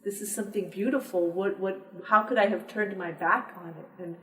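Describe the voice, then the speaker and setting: A woman from the Texas border region speaking as an interview guest, reflective and measured, with frequent restarts. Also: medium pitch, pushed and bright. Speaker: interview guest, poet and publisher. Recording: echoey room, distant mic.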